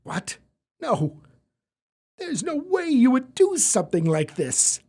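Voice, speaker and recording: A man's voice: very high voice, huge pitch swings, slow pace, a little mellow, heavily acted. A man playing an easily shocked, earnest university professor in an audio drama, speaking dramatically. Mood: shocked, indignant.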